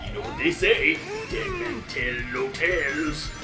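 A man's voice: a little high, wildly swooping pitch, a little slow. A man voicing a bitter, theatrical pirate villain in a comedic game fan dub, talking in hammy pirate slang. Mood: menacing, gloating. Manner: hammy pirate talk, swooping. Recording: roomy, loud game music behind.

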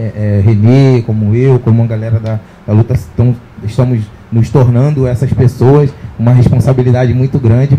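A man's voice: mid-range voice, muffled recording, noisy background. A man, speaking earnestly at a panel on an indoor stage with a handheld mic. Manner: earnest, rambling with many fillers. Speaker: social project leader. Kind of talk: panel discussion. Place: indoor event stage with sponsor backdrop.

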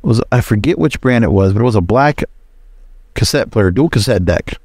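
A man's voice: fairly low pitch, expressive, brisk, a little mellow, slightly rough, dry recording, studio quality. A man hosting a solo podcast, talking casually in a rambling, conversational way. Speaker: podcast host.

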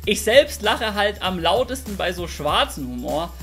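A man's voice: high voice, big pitch swings, normal speed, gravelly, loud music behind the voice. Young man narrating a vlog to camera. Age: young adult. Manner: upbeat, direct address to viewers. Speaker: YouTuber narrating his stand-up attempts. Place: small room at home.

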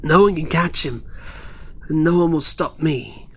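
An actor playing a mad invisible scientist in a horror audio drama, gloating, taunting and grandiose. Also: male voice, fairly high pitch, measured pace, a bit bright, a little hiss or hum. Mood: coldly reminiscing. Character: mad invisible male scientist turned gloating, menacing predator. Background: music.

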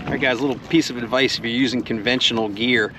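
A man's voice: mid-range voice, fairly even intonation, fast talker. A middle-aged man vlogging a fishing trip, chatting upbeat about his catches on the deck of a party boat at sea. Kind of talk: casual fishing commentary. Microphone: action camera on the boat. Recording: loud wind and boat noise.

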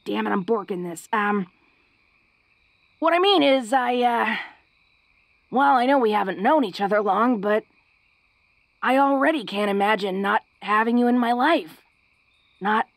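A woman voicing a tough but awkward, smitten orc girl in an intimate romantic audio roleplay, stammering bashfully in informal, rough-and-ready speech. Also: a little high, no echo. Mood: flustered, smitten.

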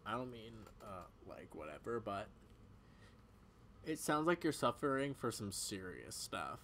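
A man voicing a protective, gently exasperated animal friend in casual video game dialogue. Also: on the low side, very animated, measured pace, exaggerated voice. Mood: reassuring, hesitant. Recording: clearly audible background noise.

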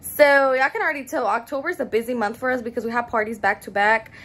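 A woman's voice: fairly high pitch, quick pace, fairly bright tone. A woman in her early twenties chatting to her phone camera in a bubbly way, calling her viewers "y'all". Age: early 20s. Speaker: vlogger. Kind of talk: casual chat to camera. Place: home bedroom, then car and stores. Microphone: phone camera at arm's length.

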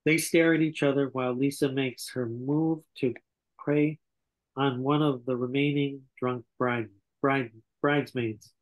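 A man's voice: mid-range voice, fairly even intonation, unhurried, poor audio. An older man reading scripted stage directions over a video call, stumbling now and then. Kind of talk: scripted narration at a table reading. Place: indoor room on a video call.

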